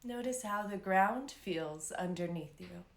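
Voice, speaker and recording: A woman leading a live guided meditation as an instructor, speaking in a calm, soothing, unhurried way with long pauses. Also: medium pitch, reverberant.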